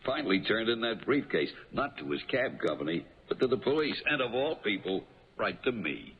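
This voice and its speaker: Hearty, lowish police lieutenant, tinny radio. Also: male voice, sing-song, normal speed, vocal fry, husky, full character voice. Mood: jovial, teasing. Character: jovial, shrewd male police lieutenant, hearty and probing. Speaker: radio drama actor. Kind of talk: 1970s radio drama acting. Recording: tinny, noisy 1970s radio broadcast.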